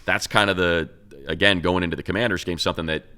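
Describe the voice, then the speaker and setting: A man's voice: sits low, fairly even intonation, fast talker, sharp tone. A man co-hosting a sports talk show, giving casual, conversational football analysis and thinking aloud as he goes.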